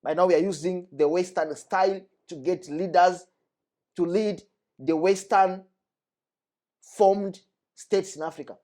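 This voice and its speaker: A man's voice: high-pitched, steady tone, a little slow, pauses often, mellow. A young man from the Democratic Republic of Congo, speaking earnestly and with emphasis as a guest, repeating his key points. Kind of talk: earnest discussion.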